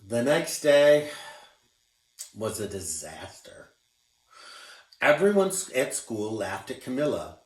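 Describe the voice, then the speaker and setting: Expressive man reading a picture book aloud. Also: mid-range voice, slow pace, long pauses. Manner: expressive, showing pictures as he reads. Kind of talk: children's read-aloud narration. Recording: roomy, distant mic.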